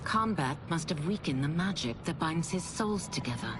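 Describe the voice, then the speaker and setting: A woman's voice: low-pitched, animated, normal speed, very noisy. A woman playing a stern, vengeful warrior in a video game, grave and resolute, in clipped phrases. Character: stern vengeful female warrior turned against her former master. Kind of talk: dramatic video game acting.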